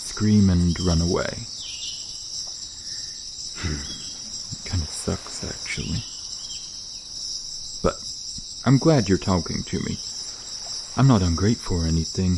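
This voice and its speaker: Casting: male actor as shy, friendly mer-shark for ASMR roleplay, very deep, hesitant delivery, chirping insects behind. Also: noisy background. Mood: wistful, awkward. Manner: hesitant, casual, trailing off. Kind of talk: ASMR roleplay, soft conversational acting to the listener. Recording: nature ambience with chirping insects. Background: crickets and outdoor night ambience.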